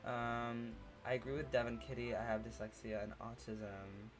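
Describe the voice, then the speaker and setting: A man hosting a relaxed livestream, reading chat messages aloud and answering them supportively, with many fillers. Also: medium pitch, normal speed, music in the background. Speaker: livestream host.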